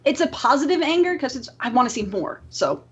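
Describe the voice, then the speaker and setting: A woman co-hosting a casual podcast, talking in an animated, playfully exaggerated way. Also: high voice, brisk, slightly rough, dull audio. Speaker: podcast co-host.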